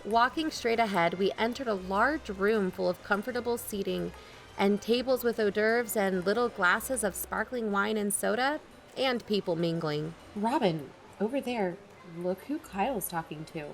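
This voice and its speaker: A woman's voice: mid-range voice, moderate pace, natural voice. Wry, wary young woman played by an actress, music behind. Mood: observant. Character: wary, candid, teasing young woman narrating undercover visit. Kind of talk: first-person audio drama narration and dialogue.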